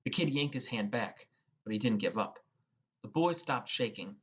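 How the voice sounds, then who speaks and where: A young man narrates an audiobook in the first person, reading from a script. His voice sits at an ordinary middle pitch. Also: lively intonation, moderate pace, husky.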